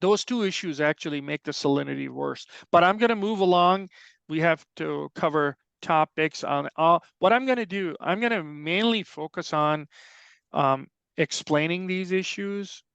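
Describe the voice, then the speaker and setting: A man's voice: on the high side, normal speed, vocal fry, a bit bright, smooth, dry recording. A middle-aged man with a Pakistani accent, giving an informal technical presentation in an explanatory, conversational way. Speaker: extension soil health specialist, presenter. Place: online webinar call.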